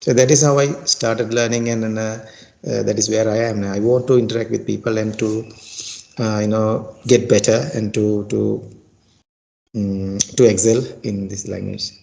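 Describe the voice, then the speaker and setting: A man's voice: fairly low pitch, steady tone, normal speed, vocal fry, warm tone. A middle-aged man from Sri Lanka with a Sri Lankan accent and Sinhala as his first language, practising English in a casual chat, full of fillers and restarts. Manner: hesitant, many fillers and restarts. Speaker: English learner.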